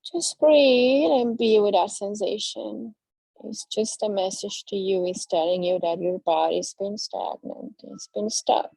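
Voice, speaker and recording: A woman guiding a yoga class with slow, soothing, drawn-out cues. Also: mid-range voice, vocal fry, warm tone, husky, no room sound, low-quality recording. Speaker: yoga instructor. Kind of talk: guided yoga class instruction.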